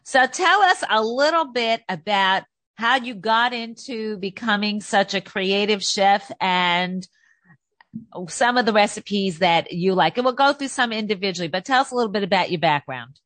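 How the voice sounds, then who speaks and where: A woman hosting a celebrity talk show, introducing her guest and asking questions in an upbeat, deliberate way. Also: mid-range voice, lively intonation, a bit bright, no room sound. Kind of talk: interview hosting.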